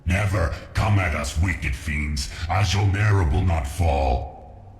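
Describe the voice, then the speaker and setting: A man's voice: deep voice, takes it slowly, slight background noise. A man playing a defiant old spider king in a game, grave, formal and proud in manner. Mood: defiant, urgent. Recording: roomy echo, faint music behind.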